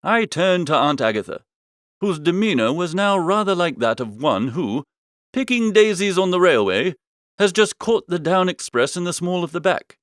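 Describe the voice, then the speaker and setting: Lively, high-pitched male comic narrator. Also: moderate pace, dry recording, studio quality. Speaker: audiobook narrator. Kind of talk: comic first-person scripted narration.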